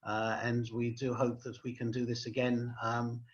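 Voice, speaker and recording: A man hosts an online event and thanks his guest politely, hesitating with many ums. He speaks in a flat, level tone at a middle pitch. The video call audio is dull and lo-fi. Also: moderate pace. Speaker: host, rabbi.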